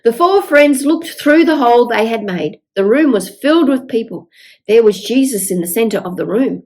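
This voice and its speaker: An older woman reading a picture book aloud to young children in an expressive storytelling manner. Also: on the high side, moderate pace. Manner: expressive, with exaggerated dialogue.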